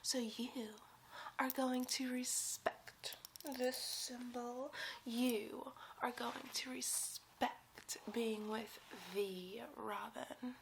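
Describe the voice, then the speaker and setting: A woman's voice: fairly high pitch, little pitch movement, very slow, gravelly, slight background noise, heavily acted. A woman voicing a giddy, bossy young superhero sidekick in a whispered, theatrical, teasing ASMR roleplay. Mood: proud, bossy.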